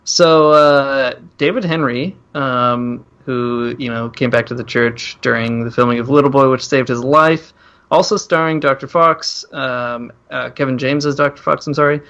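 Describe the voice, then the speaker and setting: A man's voice: mid-range voice, steady tone, moderate pace, fairly bright tone. Male podcast host, slightly muffled sound. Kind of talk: casual joking film chat.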